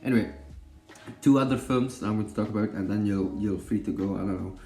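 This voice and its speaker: Young Belgian man, Dutch accent, low warm voice, chatty and rambling, roomy echo. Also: a little fast, soft background music. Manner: rambling, many fillers and self-corrections, joking. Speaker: film vlogger. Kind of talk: casual chat about films.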